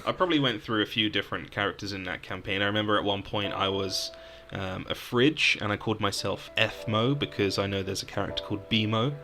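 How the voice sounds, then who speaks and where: A man's voice: fairly low pitch, fairly quick, hardly any pauses, bright, forceful tone, faint music behind. A man from the UK co-hosting a casual podcast, rambling through stories about his old game characters with plenty of "um"s. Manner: rambling, storytelling, frequent "um". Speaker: podcast co-host. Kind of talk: casual chat about tabletop games.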